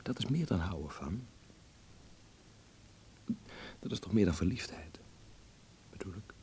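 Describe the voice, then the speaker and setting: An actor playing a gentle, teasing young man devoted to his work in a Dutch radio play, speaking tenderly and a little haltingly. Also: expressive. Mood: tender, hesitant. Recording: noisy radio play recording with low rumble.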